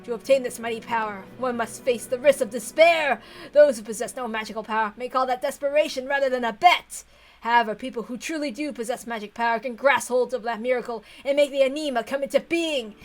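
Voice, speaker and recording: A woman's voice: fairly high pitch, lightly acted. A woman voicing a deranged, tyrannical old patriarch with theatrical, obsessive rants, reading from a visual novel. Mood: grave, fervent. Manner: theatrical howling rants, drawn-out pleading.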